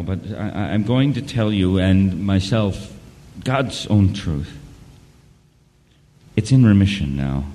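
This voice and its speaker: A man playing a haunted, ailing man who narrates his journal and speaks in an audio drama, halting and repeating his words. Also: lowish voice, a little mellow. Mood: weary, confessional. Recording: long hall reverb, music behind. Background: music.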